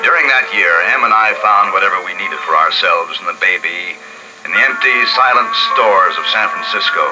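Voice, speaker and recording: An actor playing a steady, thoughtful adult male survivor in a 1950s radio drama, calm and measured. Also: low-pitched, loud music behind the voice, low-quality recording. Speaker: narrator and lead character. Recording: old radio broadcast with loud score.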